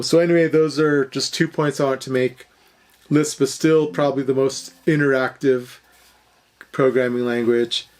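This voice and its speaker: Man casually thinking aloud, halting delivery. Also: medium pitch. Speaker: programming video host. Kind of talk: casual unscripted explanation.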